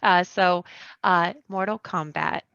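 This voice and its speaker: A woman's voice: fairly low pitch, steady tone, slow pace, gravelly, fairly bright tone, clear, resonant tone, dry recording. A woman guest chatting casually on a podcast, informal, slangy and self-deprecating. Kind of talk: casual pop-culture podcast chat. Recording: dull, lo-fi, dry microphone sound.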